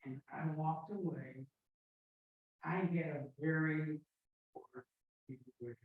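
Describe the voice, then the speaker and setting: Muffled phone-like audio, woman student talking slowly, halting personal comment. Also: sits low. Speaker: class participant. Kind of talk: hesitant personal comment in a class. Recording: muffled, band-limited, quiet and distant.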